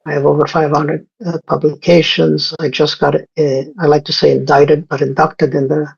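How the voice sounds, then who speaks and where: A man in his sixties from Egypt speaks as an interview guest, his voice husky with a gravelly edge. He talks in a halting way, full of fillers and self-corrections. The recording sounds dull and lo-fi. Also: a little high, level tone. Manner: halting, many fillers and restarts. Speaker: guest scientist. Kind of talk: casual interview talk.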